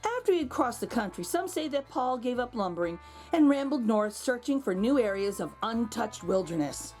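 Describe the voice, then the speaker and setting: Lady doing a kids storytime read aloud, highish voice that swoops around a lot, bit of music behind. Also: normal speed. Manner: animated, with exclaimed asides to young listeners. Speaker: children's read-aloud host. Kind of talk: expressive picture book storytelling.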